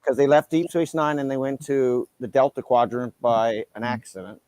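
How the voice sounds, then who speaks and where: A man's voice: mid-range voice, steady tone, moderate pace, darkish tone, dry recording, low-quality recording. A man in his forties chatting on a live stream, explaining things with confidence. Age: 40s. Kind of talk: casual chat.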